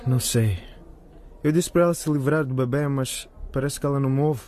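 A man's voice: medium pitch, steady tone, fairly quick, darkish tone, lightly acted. A man playing an evasive, worried teenage schoolboy in a colloquial radio drama. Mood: anxious. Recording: faint background noise.

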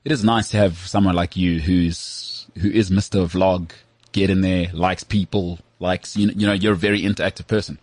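A man's voice: low-pitched, steady tone, a little mellow, no room sound. A man hosting a podcast, teasing and quizzing his co-host in casual chat. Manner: teasing, quizzing, quick asides.

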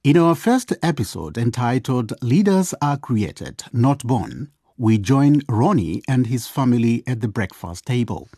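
A man's voice: medium pitch, sing-song, measured pace, hardly any pauses, mellow, slightly rough, no echo. A man reading scripted narration that introduces a radio drama.

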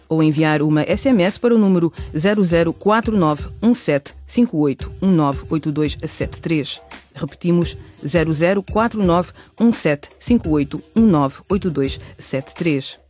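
A man's voice: a little high, animated, normal speed, a little mellow. A man announcing in a scripted radio narration style, with a presenter's delivery. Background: music.

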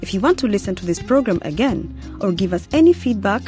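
A woman's voice: medium pitch, sing-song, fast, mellow, soft background music. A woman presenter reading the scripted close of an educational radio program. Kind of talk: scripted outro narration.

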